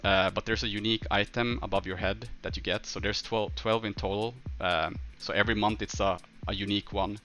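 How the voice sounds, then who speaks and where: Low, bright-voiced Swedish man chatting on stream. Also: fairly even intonation, faint music behind. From northern Sweden. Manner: halting, thinking aloud. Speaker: game livestreamer. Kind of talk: casual livestream chat, answering viewers' questions.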